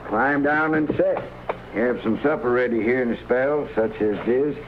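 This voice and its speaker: A man's voice: mid-range voice, normal speed, lightly acted. A man playing a middle-aged homesteader father with a rural American accent, folksy and drawling, in a radio western. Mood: affable. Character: folksy, hospitable homesteader father hiding guilt. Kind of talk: 1950s radio western acting. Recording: muffled, noisy old radio recording.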